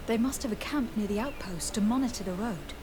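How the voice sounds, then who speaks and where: A woman playing a composed, diplomatic young noblewoman legate in a video game, speaking formally and asking measured questions. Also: fairly high pitch, noisy background, close to an everyday voice. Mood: measured, probing. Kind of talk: scripted video game dialogue.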